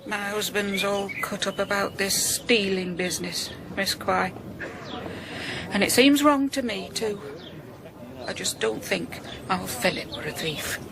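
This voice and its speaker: A woman's voice: mid-range voice, unhurried, creaky, background noise. An actress playing a grieving, plain-spoken middle-aged working-class mother in a radio drama, sorrowful and wistful.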